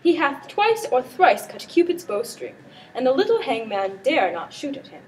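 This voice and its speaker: Excitable Shakespearean prince, played by a student girl, highish voice, amateur stage verse, off-mic with faint noise. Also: normal speed. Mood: playful teasing. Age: teenager. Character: teasing, excitable prince, a grown nobleman. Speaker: student performer. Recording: distant mic with background noise.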